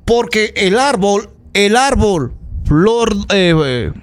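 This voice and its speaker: Animated high-pitched Ecuadorian radio man. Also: slow, slight background noise. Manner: indignant, emphatic, repeating phrases for effect. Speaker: local radio news host. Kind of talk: live opinionated radio commentary, addressing listeners.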